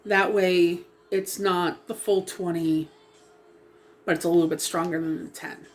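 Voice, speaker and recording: Slow, hesitant woman with a froggy voice. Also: lowish voice, fairly even intonation. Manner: hesitant, rambling, full of ums, self-apologizing. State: sniffly and slightly froggy from a lingering cough. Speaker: hair-dye vlogger. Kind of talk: casual chat while doing hair.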